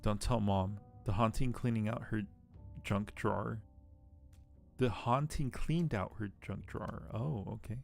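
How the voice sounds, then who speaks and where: Gravelly, slightly low-voiced male game streamer. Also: measured pace, darkish tone. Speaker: livestream host. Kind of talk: casual game stream, reading text aloud and chatting. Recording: music behind the voice. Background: music.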